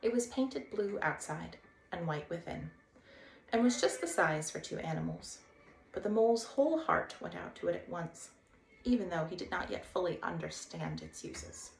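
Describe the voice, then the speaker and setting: A woman's voice: fairly low pitch, big pitch swings, moderate pace, gravelly. A woman hosting a read-aloud, narrating a children's storybook expressively. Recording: roomy echo, faint background noise.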